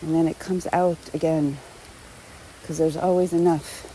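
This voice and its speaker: Female meditation guide: deeply mellow, relaxed tone, gentle and unhurried, faint background noise. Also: poor audio. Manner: gentle, unhurried, with long pauses between short phrases. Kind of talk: guided meditation, unscripted spoken instruction.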